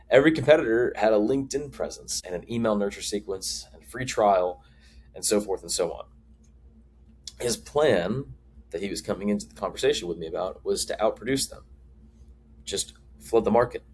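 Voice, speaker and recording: A man with a fairly low voice delivers a scripted business explainer. He speaks deliberately and puts firm stress on key phrases. Some faint background noise sits behind his voice. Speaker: marketing advisor presenting to camera.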